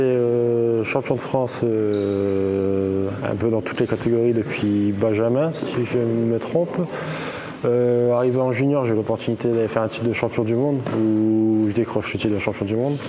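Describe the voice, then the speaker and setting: A man's voice: on the low side, steady tone, moderate pace, background noise. A man in his twenties, a competitive boxer, answers interview questions in a plain, matter-of-fact way. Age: 20s.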